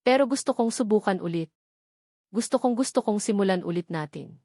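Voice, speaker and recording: A Filipino woman voicing a gentle, wounded young woman in a romantic audio drama, earnest and halting. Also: mid-range voice, lively intonation, dry recording, studio quality. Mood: tearful, hopeful.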